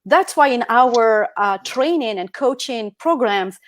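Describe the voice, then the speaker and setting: A woman's voice: on the high side, expressive, a little slow, no room sound. Earnest woman presenting on a video call. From Algeria. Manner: earnest, with fillers like "like" and "um". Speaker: guest speaker.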